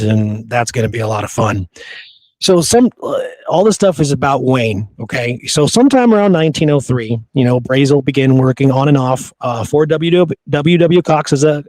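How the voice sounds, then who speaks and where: A man's voice: mid-range voice, a little mellow, no room sound. A man hosting a podcast, talking through history in an informal, conversational way. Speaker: podcast host. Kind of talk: casual history discussion.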